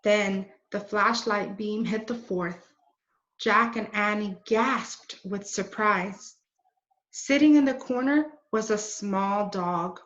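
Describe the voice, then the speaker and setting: A woman reading a children's book aloud in a deliberate, expressive way. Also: mid-range voice. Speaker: read-aloud storyteller. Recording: roomy, dull lo-fi recording.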